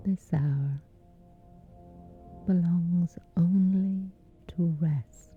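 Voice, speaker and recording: A woman speaking softly in a whispery, breathy voice, gently and soothingly guiding a sleep meditation with long, measured pauses. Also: on the low side. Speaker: guided meditation host. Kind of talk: slow guided sleep meditation. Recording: dull muffled audio under music. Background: music.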